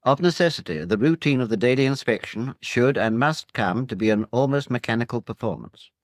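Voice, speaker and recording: A British man narrating a 1940s instructional film in a formal, measured, authoritative way. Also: medium pitch, lively intonation, no echo. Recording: 1940 film soundtrack, digitally cleaned.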